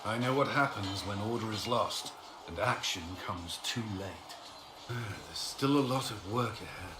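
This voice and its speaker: Earnest, low-voiced military commander. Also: male voice, expressive, measured pace. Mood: earnest, grave. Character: earnest, dutiful male military commander, adult, disciplined. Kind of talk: video game dialogue acting. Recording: roomy echo with clear background noise.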